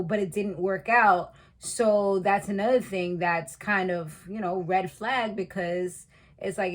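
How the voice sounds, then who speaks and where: A woman in her thirties casually recapping a reality show, chatty and slangy, laughing at her own asides. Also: medium pitch. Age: 30s.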